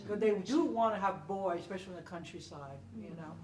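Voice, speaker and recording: A woman's voice: medium pitch, fast, crowd noise. An older woman telling stories conversationally to an audience, emphatic, repeating phrases and checking in with "okay?". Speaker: panel speaker and performer. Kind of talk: animated conversational storytelling to an audience. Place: indoor room at a public library event. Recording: roomy, distant mic, faint noise.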